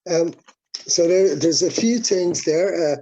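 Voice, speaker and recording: A man's voice: a little high, slow, warm tone, muffled recording. A man with an Irish accent, a native Irish speaker, chatting as a musician guest in an interview, rambling and reflective with many restarts. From Ireland. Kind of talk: casual interview talk.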